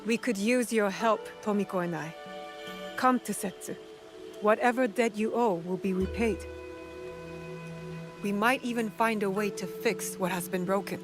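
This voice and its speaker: A woman's voice: medium pitch, unhurried, long pauses, over music, close to an everyday voice. A woman playing a determined, bold young female shinobi in a video game. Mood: gentle, sincere. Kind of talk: video game cutscene acting.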